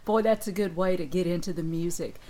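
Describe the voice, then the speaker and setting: A woman's voice: lowish voice, moderate pace, mellow. A woman hosting an interview in a conversational style, encouraging and given to folksy exclamations. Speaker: radio host and interviewer.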